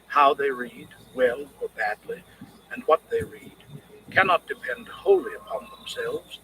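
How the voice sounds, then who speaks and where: Bright-voiced man narrating, lively pitch. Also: slight background noise, low-quality recording. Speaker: audiobook narrator. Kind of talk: scripted audiobook narration.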